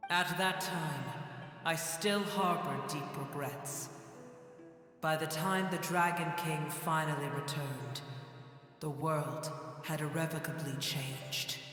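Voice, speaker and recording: Ancient dragon, slow and echoing. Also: male voice, a little high, fairly bright tone. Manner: slow, grandiose, scornful. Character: ancient proud dragon, bitter and menacing. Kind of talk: video game character acting. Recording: cavernous echo with long reverb. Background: game music.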